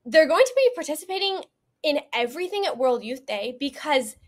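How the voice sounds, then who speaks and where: A woman's voice: sits high, expressive, fairly quick. A woman giving a personal account as an interview guest, earnest, conversational and emphatic. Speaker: podcast guest, event attendee.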